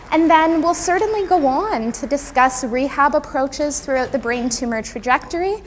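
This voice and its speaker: A woman with a soft, high-pitched voice presents to a conference audience. She is earnest and gracious, guiding listeners carefully from point to point. The recording has long hall reverb and some faint background noise. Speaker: conference presenter, occupational therapist. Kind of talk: prepared conference talk to an audience. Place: conference room, speaking to an audience.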